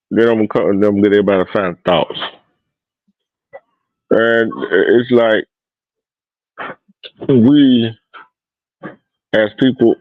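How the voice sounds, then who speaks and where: Male podcast host, low-voiced, halting and preachy, close dry muffled recording. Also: no echo. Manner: halting, many fillers and restarts, preachy. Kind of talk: casual podcast talk. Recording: dull, dry lo-fi audio.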